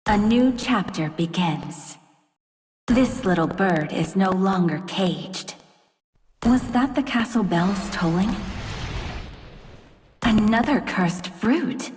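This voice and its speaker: Game casting: cold, regal dark princess, proud and vengeful, slow measured delivery, medium pitch. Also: female voice. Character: dark fairy-tale princess turned proud, vengeful queen. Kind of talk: video game character voice lines. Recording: long reverb, music behind.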